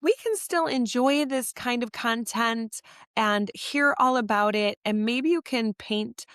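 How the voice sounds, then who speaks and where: A woman co-hosting a podcast with a slightly hoarse voice, asking questions in a gushing, friendly way. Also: on the high side, unhurried, a bit bright, no echo, clean studio sound. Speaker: podcast co-host. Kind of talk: casual chat.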